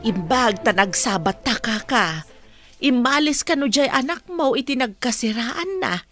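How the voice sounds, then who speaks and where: A woman's voice: on the high side, expressive, a little slow, a bit bright, soft background music. A woman acting a chatty, sympathetic village neighbour in an Ilocano radio drama.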